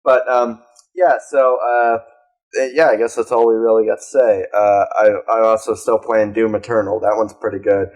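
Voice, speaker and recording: A man's voice: on the low side, level tone, moderate pace, a little mellow. A young man co-hosting a podcast, chatting casually in a laid-back, rambling way with lots of swearing. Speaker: podcast co-host. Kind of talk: casual chat.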